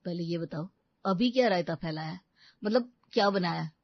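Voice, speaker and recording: A woman's voice: fairly low pitch, moderate pace, dry recording, lo-fi sound, pitched below the performer's own voice. A woman voicing an indignant young husband and younger brother, whining and sarcastic in a cartoon comedy. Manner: complaining, sarcastic. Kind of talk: cartoon family comedy acting.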